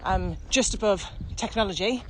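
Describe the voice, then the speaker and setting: A woman expert in virtual training explaining her work enthusiastically, speeding up when excited, with many ums. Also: mid-range voice, moderate pace. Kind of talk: casual explanatory chat. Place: outdoors by a road. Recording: noisy outdoor recording with traffic.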